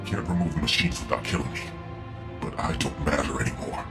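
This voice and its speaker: An actor playing a tormented, fatalistic cyborg agent in a video game, with a strained, clipped delivery. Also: male voice, extremely low pitch, a little fast, gravelly, loud music behind the voice. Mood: grim, weary. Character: tormented cyborg agent turned against his will, grim, fatalistic.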